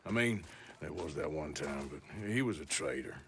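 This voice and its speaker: An actor playing a gruff, wry cowboy outlaw in his thirties with an American Western drawl, dry and teasing, in a video game. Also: very deep voice, expressive, moderate pace, exaggerated voice. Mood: amused, calm. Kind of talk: naturalistic video game acting. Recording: game dialogue over loud wagon, hoof noise.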